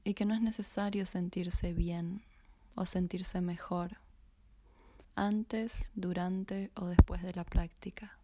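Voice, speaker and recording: A woman slowly guiding a body scan meditation in a soft, half-whispered voice. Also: lowish voice, fairly even intonation, slight background noise. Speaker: meditation guide.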